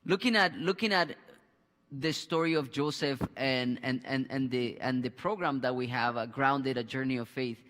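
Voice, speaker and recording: Pastor hosting a Bible talk, hall reverb. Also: male voice, medium pitch, fairly bright tone. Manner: halting, many restarts and fillers. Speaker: pastor and host. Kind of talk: conversational Bible discussion. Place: church studio with a screen behind. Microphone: headset mic.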